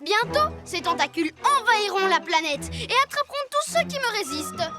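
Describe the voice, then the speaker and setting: Cartoon boy mad inventor: piping high voice, gloating, bossy, theatrical, over loud music. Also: sing-song, a little fast. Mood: gloating, menacing. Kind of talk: children's cartoon dub in French.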